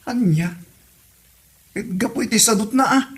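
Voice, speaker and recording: High-voiced grumbling Ilocano husband acted by a man. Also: measured pace, fairly bright tone. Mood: exasperated. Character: exasperated husband grumbling about his spendthrift sibling. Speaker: actor in a comedy drama. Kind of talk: Ilocano comedy radio drama acting.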